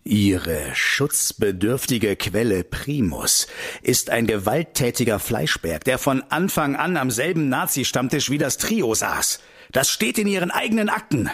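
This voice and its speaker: A combative investigator in a radio play. His pitch moves in lively swings and his voice has a husky grain. He sounds indignant and sarcastic, pressing hard on every point. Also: measured pace, heavily acted. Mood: sarcastic, accusing. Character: combative, sarcastic male parliamentary investigator grilling officials.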